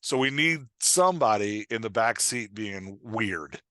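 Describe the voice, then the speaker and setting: A man talking as a coach and podcast guest, explaining things in an informal, animated way with acted-out example remarks. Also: on the low side, a little slow, no room sound. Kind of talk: casual explanatory podcast interview.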